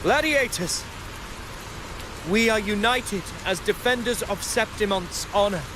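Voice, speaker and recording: A man's voice: high-pitched, measured pace, noisy background. A man voicing a noble, earnest young gladiator in a video game, formal and declamatory. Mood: rousing, earnest.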